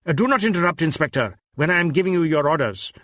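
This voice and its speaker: A man's voice: on the high side, lively intonation, fast, pushed and bright, no echo, studio quality, voice pushed up. A man voicing a self-important police superintendent, clipped and commanding, in an audio drama.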